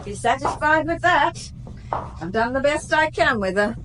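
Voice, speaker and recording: An actress playing a bossy woman who coaches a nightclub dancer, giving coaxing and sharp instructions. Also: sits high, lively intonation, unhurried, far from the mic, noisy background. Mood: instructing. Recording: loud music, voice off-mic.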